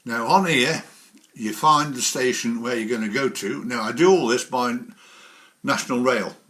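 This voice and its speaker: A man presents a casual software walkthrough in a medium-pitched voice. He talks in an informal, rambling way, working through each step as he explains it. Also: normal speed. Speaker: presenter of a model railway software tutorial. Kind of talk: casual spoken screen tutorial.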